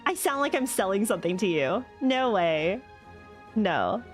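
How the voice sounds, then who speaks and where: Animated young woman, put-on American accent. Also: medium pitch, measured pace. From Australia. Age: young adult. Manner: Valley-girl delivery, full of "like". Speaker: VTuber streamer. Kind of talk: casual livestream chat. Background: music.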